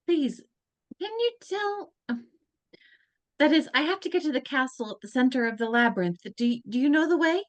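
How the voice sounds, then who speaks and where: A woman's voice: high-pitched, expressive, moderate pace, dry recording. A woman voicing a determined but stammering teenage girl, read over a video call. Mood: earnest. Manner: hesitant, stammering.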